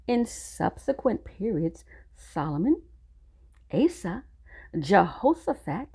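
A woman's voice: mid-range voice, very animated, takes it slowly, mellow, breathy edge. A woman teaching a Bible study class, reading scripture aloud in an earnest, instructive way and addressing her listeners directly.

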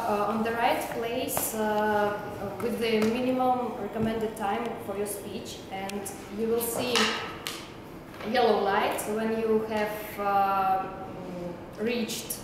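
A woman's voice: a little high, fairly even intonation, takes it slowly. A young woman explaining timing rules to a club meeting, careful and reading from notes. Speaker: meeting timer. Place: large indoor meeting room. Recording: echoey hall with hiss.

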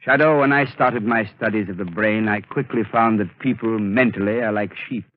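A scheming, theatrical villain played by a man purrs his threats in a lowered voice. The sound is thin and tinny, like an old radio broadcast. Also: normal speed, no room sound, lightly acted. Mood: menacing. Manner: smooth, menacing, theatrical. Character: scheming male mind-controlling villain. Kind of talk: 1930s radio drama acting.

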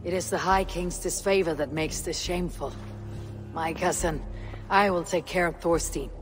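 A woman's voice: on the low side, moderate pace, soft background music, lightly acted. A woman playing a stern, steadfast Viking warrior in a video game cutscene. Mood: resolute. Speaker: game character actor.

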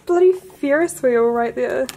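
A woman in her twenties talks casually to a travel vlog camera. Her voice is on the high side, and her manner is excitable and blunt. Clear background noise of the road runs under her. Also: measured pace. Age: 20s. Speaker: travel vlogger. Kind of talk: casual chat. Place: mostly in a car on the road. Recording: noisy car and outdoor camera audio.

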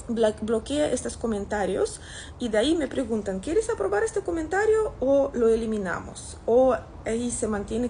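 A woman's voice: a little high, dark tone, slight background noise, poor audio. A woman in her thirties from Russia, with a Russian accent, chatting casually to camera as she replies to comments. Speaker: social media content creator. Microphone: phone at arm's length.

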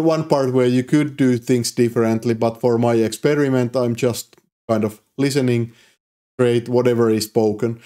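A man in his thirties with a Finnish accent, casually walking through his code in a tutorial, with frequent fillers. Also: medium pitch. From Finland. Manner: relaxed, explanatory, frequent "uh" fillers. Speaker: programming YouTuber. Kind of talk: casual screen-recorded coding tutorial. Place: home office, indoors.

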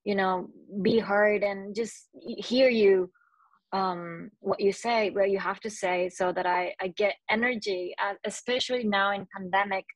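A Japanese woman in her thirties on a video call, speaking English as a second language with many restarts and searching pauses. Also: medium pitch, fairly even intonation, no room sound, poor audio. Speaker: panelist. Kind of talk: panel discussion over video call. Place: small room indoors. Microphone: earbuds on a video call.

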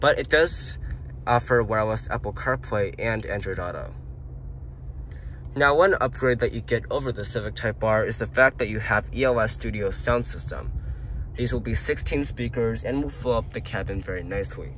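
A man's voice: on the low side, normal speed, fairly bright tone, a little hiss or hum. A man reviewing a car, listing its features in a plain, matter-of-fact way as he walks around and sits in it. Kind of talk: walkaround car review narration.

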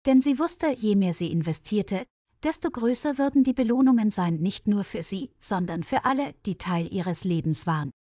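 A woman narrates a story for learners in a medium-pitched voice. She reads from a script in a measured, clearly phrased way. The recording is dry, with hardly any room sound. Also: expressive, mellow, dry recording. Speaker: narrator of graded German stories for learners.

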